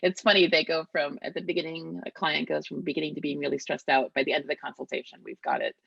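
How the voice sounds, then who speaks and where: A woman attorney hosting a casual interview, affirming her guest with quick short acknowledgements. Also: sits low, fairly even intonation, gravelly, fairly bright tone, breathy edge, dry recording. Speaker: attorney and host. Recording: dull, lo-fi sound.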